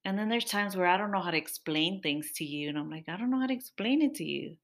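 A woman's voice: lowish voice, expressive, quick pace. A young woman in casual chat with her partner on a podcast, talking freely, laughing often and complaining playfully. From Mexican-American family. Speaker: podcast co-host.

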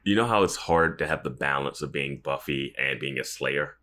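A man co-hosting a podcast in casual conversation about a TV show, speaking in an animated, exclamatory way. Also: a bass voice, normal speed. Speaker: podcast co-host.

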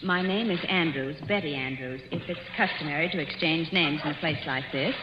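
Tart, bold young woman actress, dry and haughty delivery, 1940s radio thriller style, muffled recording with clear noise. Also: low-pitched, fairly quick, close to an everyday voice. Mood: guarded. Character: cool, tart, self-possessed young woman, curious and bold.

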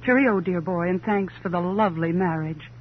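A woman's voice: fairly low pitch, normal speed, lightly acted. An actress playing a wealthy, proud divorced woman in a 1950s radio crime drama, with an arch, sardonic, flippant manner and affected society phrasing. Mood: arch, breezy. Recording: muffled old radio recording, hum and hiss.

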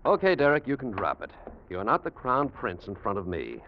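A man's voice: medium pitch, very animated, moderate pace, a little hiss or hum. A man playing an uneasy, resentful young ex-convict, terse and tense, in an old radio drama. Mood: angry, defiant. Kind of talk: 1940s radio drama acting. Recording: dull vintage radio recording.

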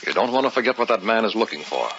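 An actor playing an uneasy, hesitant gentleman drawn into the occult, in dramatic old-time radio horror style. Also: fairly low pitch, animated, rapid-fire, slight background noise. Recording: dull old radio recording, music behind.

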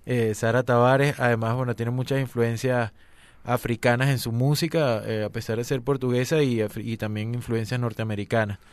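Man, radio co-host, mid pitch, relaxed explaining, dry close sound. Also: moderate pace, clean tone, no echo. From eastern Venezuela. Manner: relaxed, explaining with many fillers. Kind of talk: casual radio chat.

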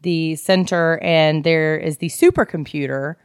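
A woman's voice: low-pitched, measured pace, clear, resonant tone, no echo, clean studio sound. A woman co-hosting a book club podcast, casually retelling a plot in a jokey, salty-tongued way. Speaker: podcast co-host.

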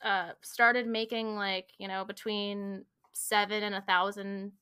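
A woman's voice: medium pitch, flat delivery, measured pace, sharp tone, clear, resonant tone, dry recording, studio quality. A woman in her twenties, a podcast guest, telling her story in casual chat with lots of "like" and "um" fillers. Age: 20s. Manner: rambling, filler-heavy storytelling with frequent "like" and "um".

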